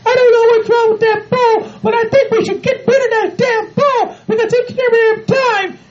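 A woman's voice: extremely high pitch, steady tone, moderate pace, darkish tone. A woman voicing a formal male servant who keeps reassuring everyone in a stiff, soothing way. The recording sounds dull and lo-fi. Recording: dull lo-fi audio.

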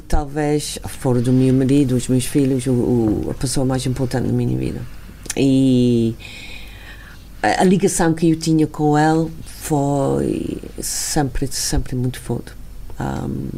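A middle-aged woman with a foreign accent, a non-native speaker, answering in a casual interview, haltingly searching for words. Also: a bass voice, dark tone, a little hiss or hum. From spent early childhood in Beirut. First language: not Portuguese. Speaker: interview guest, wine estate owner.

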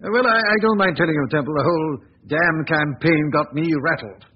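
An actor playing a hesitant, flustered police chief who keeps saying "uh" and clearing his throat, in 1940s radio acting. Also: on the high side, lively intonation, a little fast. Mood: worked-up. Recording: dull lo-fi 1940s radio recording.

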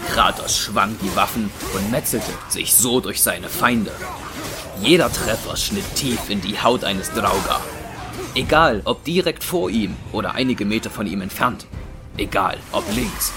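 Ein Mann als Erzähler eines Hörspiels, der einen geschriebenen Text feierlich und dramatisch vorträgt. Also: male voice, fairly low pitch, lively intonation, measured pace, over music. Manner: solemn, dramatic. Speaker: narrator. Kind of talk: dramatic scripted audio drama narration.

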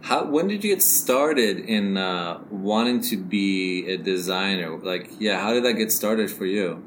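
A man hosting a podcast interview in a relaxed, casual way, swearing now and then. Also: low-pitched, unhurried, slight background noise. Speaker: podcast host.

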